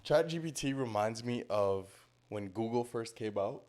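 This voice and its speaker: A man co-hosting a casual podcast, talking animatedly and thinking out loud, with an easy laugh. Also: medium pitch, animated, normal speed. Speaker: podcast co-host.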